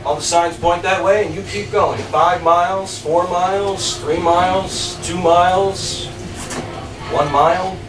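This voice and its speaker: A terse, uneasy man waits and repeats himself in clipped phrases , played by a male stage actor. His voice is fairly high for a man, heard in an echoey small theater with clear background noise. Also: fairly even intonation, unhurried. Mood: insistent. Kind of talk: live stage acting, clipped absurdist dialogue. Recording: echoey noisy small venue, distant mic.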